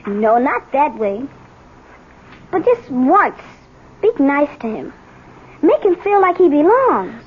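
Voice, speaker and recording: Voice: high-pitched, sing-song, slow pace, pauses often, heavily acted. A young performer playing an earnest, loyal American schoolboy, articulate and eager, in a 1970s radio drama. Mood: gently pleading. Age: child or young teen. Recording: muffled 1970s radio recording.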